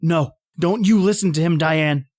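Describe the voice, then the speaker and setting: A woman acting a frightened, protective character in old-time radio horror style, halting and stammering while pleading in horror. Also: low voice, a little mellow, no room sound. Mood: desperate, pleading. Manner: halting, stammering, repeats words.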